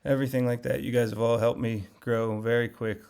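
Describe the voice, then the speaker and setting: Gravelly, flat-voiced man reviewing trades. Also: medium pitch, a little fast. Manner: conversational, rambling, many fillers and self-corrections. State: voice getting hoarse, losing his voice. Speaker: finance channel host. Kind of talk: casual screen-share market recap.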